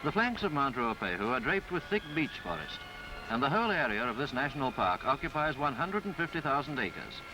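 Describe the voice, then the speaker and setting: A man reading a scripted 1950s newsreel travelogue in a formal, florid, measured way. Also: medium pitch, big pitch swings, low-quality recording. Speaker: newsreel narrator. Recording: 1950s film soundtrack with background music. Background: music.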